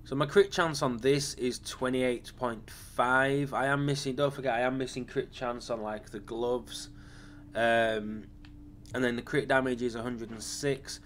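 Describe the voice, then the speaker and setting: A man hosting a gaming channel, casually talking through his game build. His voice is slightly raspy from a lingering cough, and he rambles, with frequent ums and self-corrections. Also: medium pitch, steady tone, measured pace, a bit bright, a little hiss or hum.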